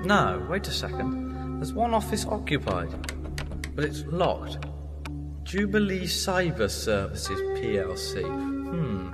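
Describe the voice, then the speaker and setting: A breezy, eccentric time traveller quips his way through danger, as a man acts him out. His voice rides high and lively. Music plays underneath. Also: slow. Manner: jaunty, wry and theatrical.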